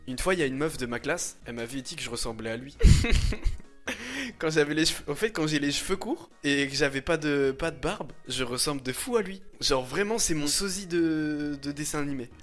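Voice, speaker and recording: High-pitched young man streaming. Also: a little fast, faint music behind. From France. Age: 20s. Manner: slangy, teasing, expressive, laughs often. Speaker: livestreamer reacting to images and chat. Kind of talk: casual chat, livestream commentary.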